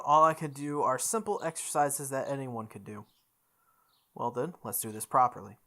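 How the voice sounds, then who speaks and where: A woman playing through a visual novel, reading its text aloud with expressive, goofy casual commentary, sometimes correcting her stumbles. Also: lowish voice. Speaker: host of a visual novel playthrough.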